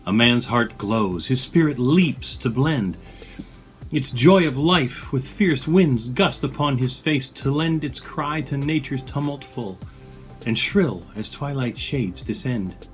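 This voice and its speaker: A man giving a dramatic poetry reading with measured, expressive phrasing. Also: lowish voice. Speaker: poetry reader.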